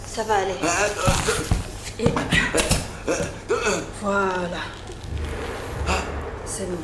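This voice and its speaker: A woman's voice: medium pitch, steady tone, extremely slow, roomy sound, very noisy. A woman playing a young medic in an underwater colony, urgent in a crisis and tired and sarcastic after it. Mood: calm. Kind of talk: amateur audio drama acting.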